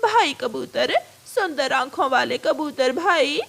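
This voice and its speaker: Lady doing a cartoon crow wife, super high voice, naggy and scheming, really swoopy. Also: lively intonation, slow, vocal fry, a bit bright, exaggerated voice. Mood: pleading, relieved. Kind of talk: cartoon voice acting.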